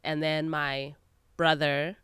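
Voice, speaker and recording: A woman's voice: sits low, little pitch movement, takes it slowly, fairly bright tone, clear, resonant tone, dry recording. A young adult woman from Zimbabwe, a guest in a casual interview, telling stories with frequent laughter. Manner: laughs often, lighthearted storytelling. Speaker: guest, magazine editor.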